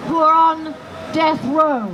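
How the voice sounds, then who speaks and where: A woman giving a political campaign speech outdoors, in a deliberate, emphatic oratorical manner with pauses. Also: high-pitched, steady tone, highs cut off. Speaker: campaign speaker. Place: outdoors in a city square. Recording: outdoor rally PA with loud city traffic noise. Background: loud street ambience and vehicles.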